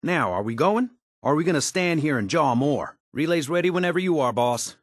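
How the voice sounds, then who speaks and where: Animated, folksy American wisecracking tinkerer. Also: male voice, a little high, a little fast, no room sound. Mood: helpful, easygoing. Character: folksy, wisecracking male tinkerer and scrappy settler ally. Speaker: video game character voice actor. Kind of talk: video game dialogue, conversational and folksy.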